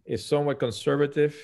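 A man in his fifties from Puerto Rico with a Puerto Rican accent, a native Spanish speaker, talking conversationally as a guest pastor on a video call, halting and correcting himself. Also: a little high, creaky, a little mellow. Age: 50s. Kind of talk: conversational personal account. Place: small room at home, indoors.

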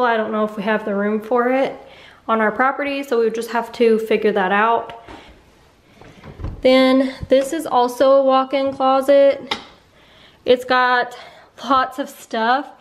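A young woman casually narrating a walkthrough of her home as she films it, talking in a chatty, offhand way. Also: on the high side. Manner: chatty, offhand, trails off mid-thought. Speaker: vlogger giving a home tour. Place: rooms of a mobile home. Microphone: handheld camera while walking.

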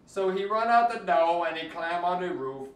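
A man performs a storybook in dialect with playful exaggeration. His pitch swings widely as he takes his time over each line. He is recorded at a distance from the mic in an echoey room. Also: animated, moderate pace. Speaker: storyteller reading a picture book aloud. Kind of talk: theatrical storybook reading in Cajun dialect.

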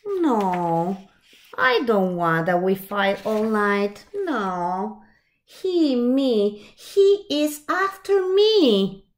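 Storytime reader: middle-aged woman, high-pitched, very animated delivery of a picture book. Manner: expressive, with asides and questions to the listeners. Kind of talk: reading a picture book aloud to young children. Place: small indoor room with shelves.

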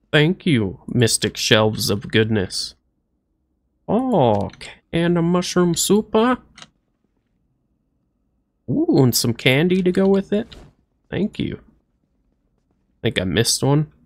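A man in his thirties casually narrating a survival game as he plays, talking to himself in a goofy, playful way. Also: mid-range voice. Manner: goofy, talks to himself, playful silly voices. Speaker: let's play streamer. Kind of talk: casual gameplay commentary.

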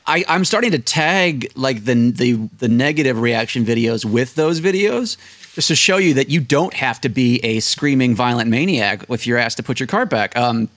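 Casual podcast guest: American male, thirties, mid-pitched voice, storyteller, dry desk-mic sound. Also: animated, moderate pace, fairly bright tone, no echo. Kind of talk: casual interview anecdotes. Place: small indoor room, seated at desk. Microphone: close desk microphone on video call.

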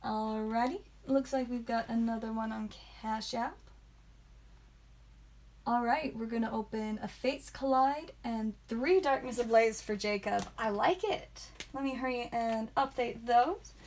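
A woman hosting a live card pack-opening stream, chatting playfully and saying sound effects aloud, with a calming voice. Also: fairly high pitch, unhurried, slight background noise. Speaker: trading card stream host. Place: indoor room with whiteboard.

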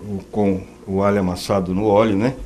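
A middle-aged man with a fairly low voice hosts a cooking segment in a TV studio kitchen. He talks casually as he cooks and explains every step. There is a faint hiss under the audio. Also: flat delivery, a little fast, darkish tone. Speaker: cooking show host. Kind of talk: casual chat while cooking.

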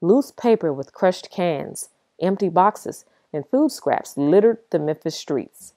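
A woman reading a children's picture book aloud as expressive storybook narration, with dramatic pauses. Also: fairly low pitch, dry recording, studio quality.

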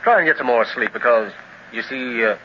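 An actor playing a confident male secret agent in a 1930s radio serial, brisk, reassuring and commanding, heard through an old recording with a faint hiss. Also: medium pitch, highs cut off, close to an everyday voice. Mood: steady, reassuring.

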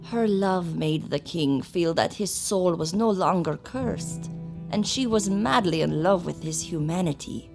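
A woman playing a solemn old servant of a vampire king, speaking in a formal, measured storytelling manner in a gothic audio drama. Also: on the low side, vocal fry, over music. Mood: solemn, wistful.

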